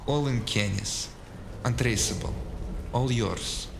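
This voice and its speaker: A man's voice: mid-range voice, takes it slowly, creaky. A man playing a secretive, coolly defiant underworld dealer in a noir audio drama, clipped and dismissive. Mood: terse. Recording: echoey space, noisy ambience.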